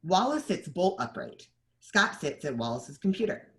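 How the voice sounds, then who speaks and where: Screenplay narrator: woman, animated intonation, reading out stage directions. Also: low voice, normal speed. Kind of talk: scripted narration.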